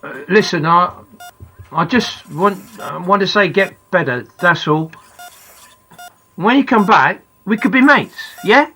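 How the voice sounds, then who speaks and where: A reader voicing Barry, a rough schoolboy trying awkwardly to be friendly, speaking haltingly. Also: mid-range voice, animated, bright, forceful tone, heavily acted, poor audio. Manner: hesitant, halting. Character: boy, rough classmate trying to be nice.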